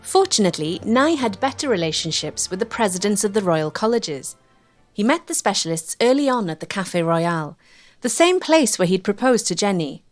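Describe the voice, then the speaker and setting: A woman's voice: mid-range voice, very animated, moderate pace, faint music behind. A woman with a Welsh accent reading scripted narration as the presenter of a documentary.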